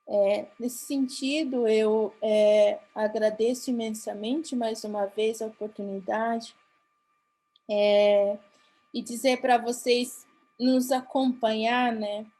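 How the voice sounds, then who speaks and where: A woman from Mato Grosso do Sul, Brazil, with a Brazilian Portuguese accent and Guarani as her first language, giving a formal conference talk. She speaks earnestly and haltingly, often restarting her phrases. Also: on the high side, steady tone, warm tone.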